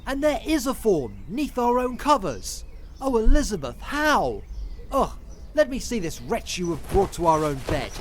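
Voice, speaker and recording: A man's voice: high voice, huge pitch swings, unhurried, slight background noise, full character voice. A man playing an earnest medieval king, a loving husband, with formal theatrical delivery in an audio drama. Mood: dismayed. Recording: music under the voice.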